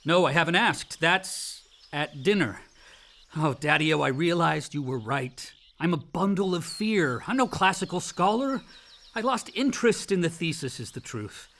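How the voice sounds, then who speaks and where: Exuberant, higher-voiced young man. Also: animated, measured pace, a bit bright. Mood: earnest, self-doubting. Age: young adult. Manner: casual, effusive, slangy. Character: exuberant, outdoorsy grown son, self-doubting dropout scholar. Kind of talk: naturalistic radio drama acting.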